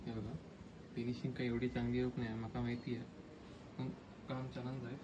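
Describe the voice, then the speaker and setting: A man with a Malvani accent talks informally, explaining his work step by step like a motorcycle vlogger. His mid-pitched voice stays quite flat. The handheld phone recording has clear background noise. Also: a little fast, muffled recording. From Konkan, western India. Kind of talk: casual hands-on restoration vlog.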